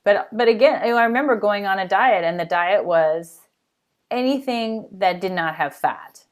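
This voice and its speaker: A woman guest on a podcast, telling stories in an animated, quick way. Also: mid-range voice. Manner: animated, quick, laughs while recalling. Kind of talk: casual interview chat.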